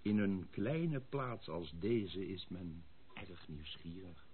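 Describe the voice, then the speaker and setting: An actor playing a genial, obliging small-town gentleman who gives discreet advice in a radio play. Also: deep voice, takes it slowly. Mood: obliging, confidential. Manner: animated, advising. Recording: tinny, narrow old radio play recording.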